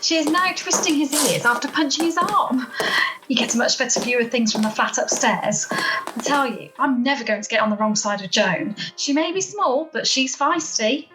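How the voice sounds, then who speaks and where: A woman acts a gossipy, matter-of-fact neighbour in a homemade radio comedy. Her voice is high and her delivery wry. The recording is a home video call with quiet music underneath. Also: animated, normal speed, poor audio. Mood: amused. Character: wry, gossipy female neighbour relishing a scene. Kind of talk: amateur radio play acting.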